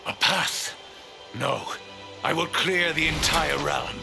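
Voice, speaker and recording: An actor playing a zealous, grandiose archangel in a video game, with a gravelly voice and a lofty, deliberate delivery. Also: male voice, fairly high pitch, music in the background, full character voice. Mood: imperious fervor. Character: imperious zealous male archangel, grandiose and self-assured. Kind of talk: video game cinematic acting.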